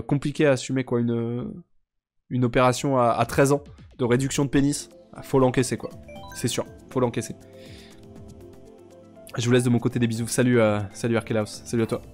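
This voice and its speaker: Congested young man rambling on stream. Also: medium pitch. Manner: rambling, deadpan joking, chatting with viewers. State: congested, sick with sinusitis. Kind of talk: casual live-stream chat while gaming.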